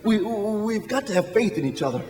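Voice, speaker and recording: A man's voice: sits high, lively intonation, fairly quick, a little mellow. A man playing an earnest young small-town loan man in a staged radio drama, stammering and repeating himself as he pleads. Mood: urgent pleading. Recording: echoey hall, music and audience behind.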